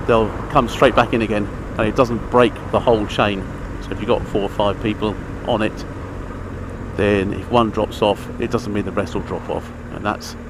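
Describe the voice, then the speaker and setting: A man giving a casual, rambling, matter-of-fact gear chat as a motorcycle vlogger. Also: lowish voice. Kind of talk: casual chatty gear review while riding. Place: outdoors inside a helmet on a motorbike. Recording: engine, traffic and outdoor noise, helmet mic.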